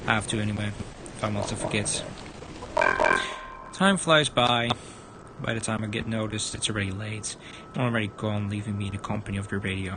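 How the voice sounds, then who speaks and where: Creaky low-voiced man reading horror aloud. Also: very noisy. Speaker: livestreamer reading a visual novel aloud. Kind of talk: dramatic read-aloud narration of horror story. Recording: loud music over the voice. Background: loud music.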